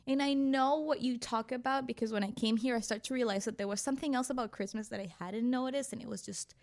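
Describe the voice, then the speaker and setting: A woman from Venezuela, a podcast co-host, telling stories in a rambling, animated group chat. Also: a little high, fast. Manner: animated, rambling storytelling with restarts.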